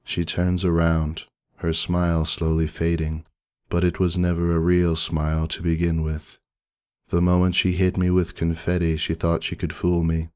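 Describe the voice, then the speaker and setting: A man voicing a stoic older sister pony, narrating a story in a terse, deadpan way. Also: deep voice, normal speed, smooth, no echo, clean studio sound, close to an everyday voice. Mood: stoic, somber. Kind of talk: dramatic first-person story reading.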